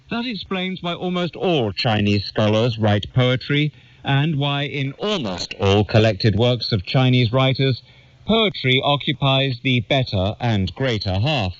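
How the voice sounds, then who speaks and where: A man's voice: medium pitch, no room sound. Man reading formally in dull, dry audio. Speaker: reader of an essay. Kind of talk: scripted narration, reading a literary essay aloud.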